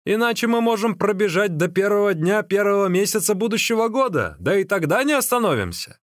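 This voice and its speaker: A man's voice: high voice, big pitch swings, fairly quick, dry recording, clean studio sound, pitched above the performer's own voice. A man voicing a sly storybook sorcerer who scolds and teases with mock earnestness, feigning alarm. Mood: mock puzzled. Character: sly teasing male sorcerer, feigning alarm to trick his companion.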